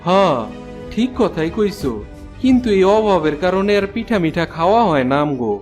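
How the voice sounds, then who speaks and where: A man voices a poor, humble fisherman from a cartoon village tale. His voice is high for a man, with plain rustic Bangladeshi colloquial speech. Soft music plays behind him. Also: lively intonation, moderate pace. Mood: resigned, homely. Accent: Bangladeshi colloquial Bengali. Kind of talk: cartoon folk-tale dialogue.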